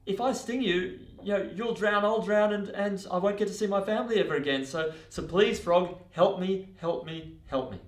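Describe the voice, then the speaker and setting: A man's voice: a little high, expressive, unhurried, few pauses, roomy sound, voice pushed up. A man voicing a desperate, stammering scorpion in a story, begging and wheedling for help. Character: pleading desperate male scorpion begging a favour.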